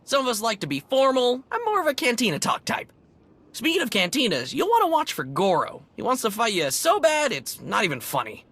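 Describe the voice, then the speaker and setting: Bright, husky, excitable alien chatterbox. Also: female voice, fairly high pitch, fairly quick, full character voice. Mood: chatty. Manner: gushing, breezy, informal slang. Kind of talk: video game cutscene acting.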